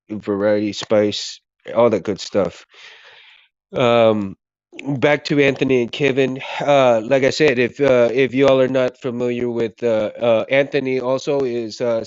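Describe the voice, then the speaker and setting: A man's voice: mid-range voice, a little mellow, clean tone, dry recording, highs cut off. A man hosting a casual livestream who is losing his voice, rambling and repeating himself as he thanks his viewers. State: hoarse, losing his voice, coughing. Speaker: livestream host.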